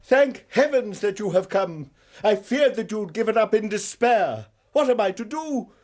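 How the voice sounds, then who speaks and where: Fretful English don for radio drama, high and swooping, formal, fussy and anxious. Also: male voice, animated, unhurried, darkish tone. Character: nervous, fretful English college tutor, a man.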